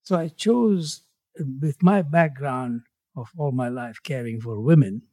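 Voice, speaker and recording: A man in his eighties from Tanzania, a guest in an interview, speaking in a measured way with his voice falling away at the ends of phrases. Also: mid-range voice, dark tone, no echo, clean studio sound. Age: 80s. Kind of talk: reflective interview conversation.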